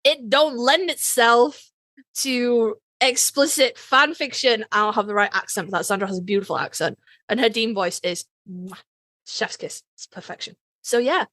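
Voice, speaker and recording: A woman's voice: on the high side, bright, forceful tone, no room sound. A woman co-hosting a podcast in casual, slangy chat, swearing freely and telling stories in quoted exchanges. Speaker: podcast co-host.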